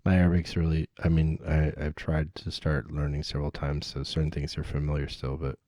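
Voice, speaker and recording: A man's voice: extremely low pitch, completely flat delivery, brisk, warm tone, no echo. A man chatting casually on a video call, hesitant, restarting often as he searches for words. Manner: hesitant, many restarts and fillers. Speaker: language learner on video chat. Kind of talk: casual chat.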